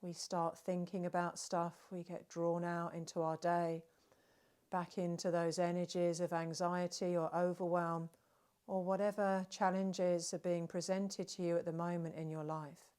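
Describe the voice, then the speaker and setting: A woman guides a relaxation session in a gentle, soothing manner. Her voice sits a little low and stays very even, moving very slowly with long pauses between short phrases. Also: smooth. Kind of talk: guided meditation narration.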